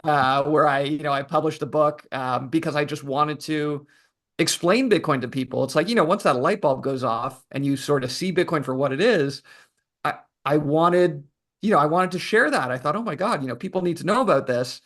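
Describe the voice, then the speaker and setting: A man, an author guesting on a podcast, who tells his story in a relaxed, thoughtful way with lots of fillers. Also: a little high, normal speed. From Canada. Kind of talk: casual interview answers.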